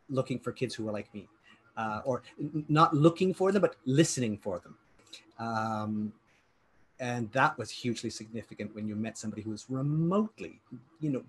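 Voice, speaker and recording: A man in his fifties hosting an interview over a video call with a headset, earnest and halting with restarts. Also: medium pitch, slight background noise. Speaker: host. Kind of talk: interview questions. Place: home study with bookshelves.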